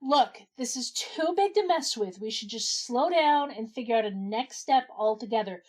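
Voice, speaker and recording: Woman playing a high-voiced sensible gnome. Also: lively intonation, moderate pace, darkish tone. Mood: firm. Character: sensible female gnome taking charge. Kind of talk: amateur comedic fantasy audio drama.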